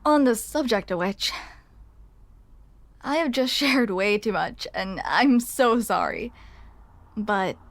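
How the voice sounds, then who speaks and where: Casting: actress as young female barista, grumpy yet shyly smitten, higher-pitched, hesitant, self-deprecating, close dry ASMR roleplay. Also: expressive, slow, long pauses, no room sound. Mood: shy, vulnerable. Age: young adult. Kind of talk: ASMR roleplay, intimate scripted monologue to the listener.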